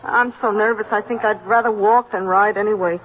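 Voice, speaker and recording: A woman's voice: medium pitch, fairly even intonation, a little fast, a little hiss or hum, lightly acted. An American woman playing a nervous, hesitant, apologetic eyewitness in a 1950s radio drama. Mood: apologetic, fretful. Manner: hesitant, fretful. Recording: dull old radio recording.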